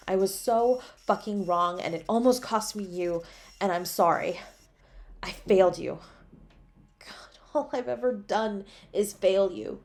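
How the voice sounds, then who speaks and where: A woman's voice: mid-range voice, close to an everyday voice. A woman playing a devoted, guilt-ridden superhero in an intimate, emotional audio roleplay, with a pleading, tender way of speaking. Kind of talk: dramatic audio roleplay, intimate second-person.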